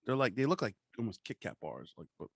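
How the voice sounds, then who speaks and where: A man's voice: mid-range voice, very animated, quick pace, creaky, no echo, clean studio sound. An American man co-hosting a podcast in casual, comedic chat, rambling along with plenty of swearing and slang. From New Jersey, USA. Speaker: podcast co-host.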